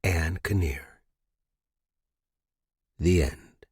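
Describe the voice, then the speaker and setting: A man's voice: sits low, steady tone, very slow, husky. A man hosting a story podcast and reading scripted narration. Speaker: podcast host and story reader.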